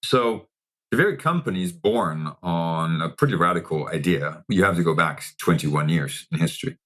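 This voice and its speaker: A man with a very low voice and a Danish accent. He talks as an interview guest, explaining in a measured way and posing questions to himself as he goes. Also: expressive, a bit bright. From Denmark. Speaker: interview guest, technology executive.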